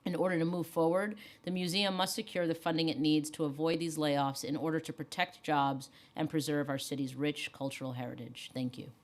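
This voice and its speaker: A woman with a very low voice reads a prepared opening statement at a council hearing. Her delivery is steady and formal, with the cadence of public advocacy. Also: brisk, hardly any pauses, a little hiss or hum. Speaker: council member.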